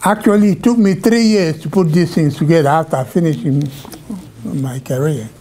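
An older man, a retired teacher, speaking briefly to an audience in a halting way that repeats itself. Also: a little high, mellow. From Nigeria. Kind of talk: short informal remarks.

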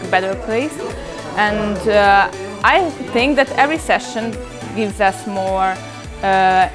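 A woman in her twenties with a Hungarian accent, giving a reflective, hesitant interview answer. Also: mid-range voice. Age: 20s. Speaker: youth exchange participant.

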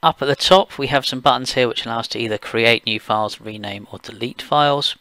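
A man from the UK talking through a software screencast step by step, plain and explanatory, with occasional fillers. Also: mid-range voice, fairly quick, clear, resonant tone, no echo. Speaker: software engineer giving a tutorial. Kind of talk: screencast walkthrough voice-over.

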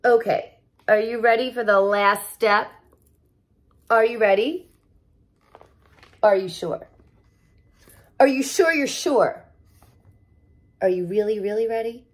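A woman in her thirties reading a children's picture book aloud like a teacher, expressive, with chatty asides straight to young listeners. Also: a little high, slow pace, pauses often. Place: living room at home, indoors.

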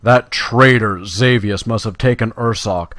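A man's voice: fairly low pitch, unhurried, a bit bright, no room sound. A man reading game quest dialogue aloud as an earnest, vengeful druid, solemn and dramatic. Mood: solemn, vowing.

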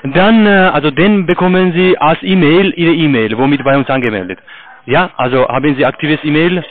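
A man with a foreign accent, not a native German speaker, giving halting phone instructions in broken German as a call-centre agent. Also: on the high side. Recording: narrow, tinny phone line.

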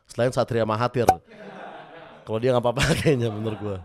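A young man hosting a comedic news monologue, his voice a bit hoarse, joking and rambling with self-mocking asides into a studio mic. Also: medium pitch, steady tone, fairly quick, a little mellow. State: slightly hoarse voice. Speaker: host. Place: small studio with brick wall. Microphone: studio mic on stand with headphones.

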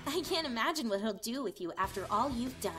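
A woman's voice: a little high, animated, brisk, loud music behind the voice, close to an everyday voice. A woman playing a smug, taunting young pony villain in a fan audio drama, with a mocking, theatrical delivery.

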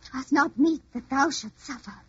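High-voiced earnest medieval maiden played by a woman. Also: normal speed, no echo, natural voice. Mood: earnest devotion. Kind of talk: old-time radio drama acting, archaic speech. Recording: dull old radio recording.

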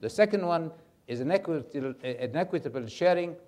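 An older man speaking as a panelist, halting and repeating words as he starts his points. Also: a little high, expressive, a little mellow. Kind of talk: panel discussion.

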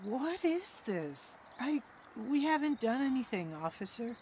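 A woman's voice: high-pitched, big pitch swings, measured pace, darkish tone, slight background noise. An actress playing a distraught, tearful mother in a radio drama. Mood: alarmed, scolding. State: crying, gasping.

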